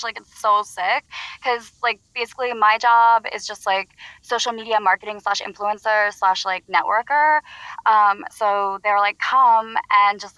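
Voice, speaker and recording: A woman's voice: on the high side, sharp tone, clear, resonant tone, no echo, rough recording. An actress voicing a giddy, ditzy young influencer calling a radio show, gushing in Valley-girl style. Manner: gushing Valley-girl talk, giggly, breathless oversharing. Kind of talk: audio drama phone-in.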